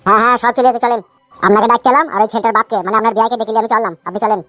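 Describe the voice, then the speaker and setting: Anxious obsequious gang henchman, performer in a cartoon voice, very quick, dry sound with faint music. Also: mid-range voice, steady tone, pushed and bright, no room sound, close to an everyday voice. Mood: obsequious, hurried. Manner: obsequious, hurried. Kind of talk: animated comedy dialogue.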